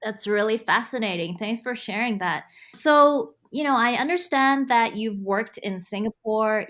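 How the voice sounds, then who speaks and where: A woman's voice: fairly high pitch, a bit bright. A woman hosting an academic podcast, introducing her guest and asking friendly, encouraging questions. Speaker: podcast host. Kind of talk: scripted intro then interview questions.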